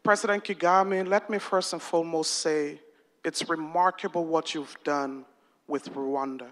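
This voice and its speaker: A woman's voice: low-pitched, fairly even intonation, measured pace, a bit bright. A woman from Liberia earnestly asking a formal question as an audience member at a public forum.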